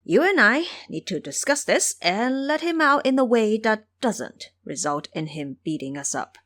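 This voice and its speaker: A woman's voice: on the high side, sing-song, normal speed, fairly bright tone, no room sound, voice pushed up. A woman voicing a stern, knowing male guardian god who explains and cautions emphatically in an audio drama. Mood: cautioning.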